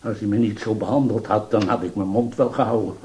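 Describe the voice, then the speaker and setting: An actor playing an embittered, hot-tempered rebel in a radio drama, volatile and emphatic. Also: male voice, fairly low pitch, fairly even intonation, fast, close to an everyday voice. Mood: resentful. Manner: volatile, emphatic, swinging from shouts to mutters. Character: embittered rebel man, locked-up accuser, hot-tempered, easily bought. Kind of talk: Dutch radio drama acting.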